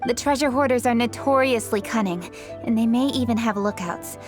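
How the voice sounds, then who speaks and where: A woman's voice: a little high, moderate pace, over music, close to an everyday voice. A woman playing an earnest, determined young investigator in a video game, composed and formal.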